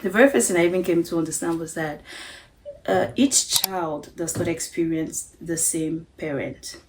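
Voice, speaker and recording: A woman's voice: lowish voice, moderate pace, background noise. A woman in her thirties from Cameroon, earnestly talking to camera in a home vlog and often ending with tag questions. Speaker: vlogger. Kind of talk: reflective talk to camera. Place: home bedroom.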